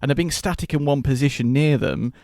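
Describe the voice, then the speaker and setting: A man's voice: mid-range voice, a little fast, vocal fry, a little mellow, no echo, studio quality. A man in his early thirties co-hosting a podcast with casual talk about film. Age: early 30s. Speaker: podcast co-host.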